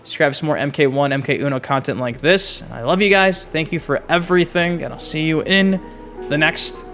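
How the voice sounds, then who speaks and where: A man hosting a gaming channel, giving excited casual commentary and swearing freely. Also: a little high, a little fast, soft background music. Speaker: gaming YouTube host. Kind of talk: casual commentary on game clips.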